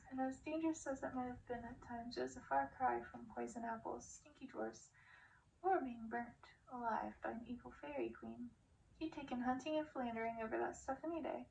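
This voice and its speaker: A woman reading a fairy-tale novel aloud in audiobook style. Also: fairly high pitch. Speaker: reader of a story. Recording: distant mic with clear background noise.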